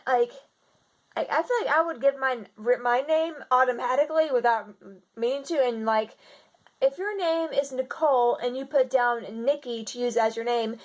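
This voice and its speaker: A young woman with a slightly raspy voice, chatting in a casual video diary and rambling with lots of fillers and restarts. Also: fairly high pitch. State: slightly raspy from seasonal congestion. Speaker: vlogger.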